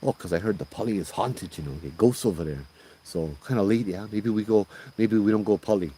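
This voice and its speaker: A man's voice: fairly low pitch, brisk, dark tone, slight background noise, natural voice. Man playing a scared young Hawaiian Pidgin-speaking college guy. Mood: uneasy. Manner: pleading, repeating himself. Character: nervous young male college passenger, scared of ghosts.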